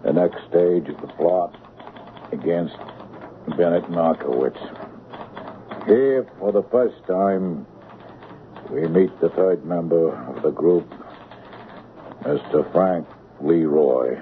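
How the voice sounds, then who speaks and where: An actor playing a stolid policeman reading out a crime report in a radio drama, deliberate and matter-of-fact. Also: sits low. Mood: official, detached. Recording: tinny, noisy old radio broadcast.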